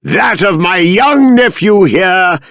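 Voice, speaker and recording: A man's voice: high-pitched, lively intonation, takes it slowly, a bit bright, no echo, clean studio sound, heavily acted. An actor playing a stern, dignified middle-aged king in a video game, with formal, regal, emphatic diction. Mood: loud, proclaiming. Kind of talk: dramatic game character dialogue.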